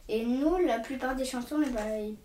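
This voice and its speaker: A woman's voice: fairly high pitch, normal speed, warm tone. A teenage girl answering interview questions hesitantly. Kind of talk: casual spoken testimony. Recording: echoey room, faint noise.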